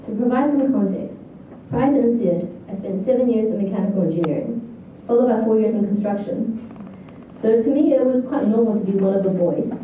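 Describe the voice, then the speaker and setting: A woman's voice: a little high, a little fast. A woman in her thirties with a New Zealand accent, giving a prepared graduation speech as a student valedictorian. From New Zealand, born in China. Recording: echoey hall, distant, thin lo-fi, faint noise.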